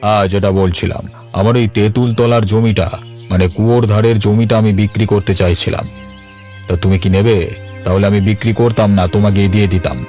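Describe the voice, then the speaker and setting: A man voices an embittered old patriarch in a cartoon story. The voice is on the low side and declamatory, proud and quick to take offence, with music running underneath. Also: steady tone, mellow, natural voice. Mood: coaxing, measured. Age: older. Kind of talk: Bengali cartoon voice acting.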